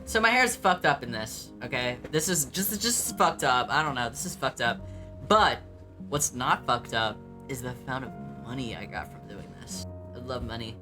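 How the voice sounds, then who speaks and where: A young man with a light, mid-pitched voice that has a touch of bass. He chats casually and bluntly, mocks himself, and swears without a second thought. Music plays under his talk. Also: lively intonation, normal speed. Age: young adult. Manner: chatty, blunt and self-mocking, swears freely, many ums. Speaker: vlogger reacting to his old videos. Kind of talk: casual reaction commentary.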